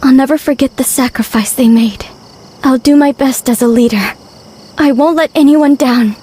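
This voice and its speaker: Sincere young game leader; high but dark-toned and breathy, solemn delivery. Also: female voice, moderate pace. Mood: solemn, grateful. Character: earnest, grateful young woman leader. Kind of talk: video game dialogue acting.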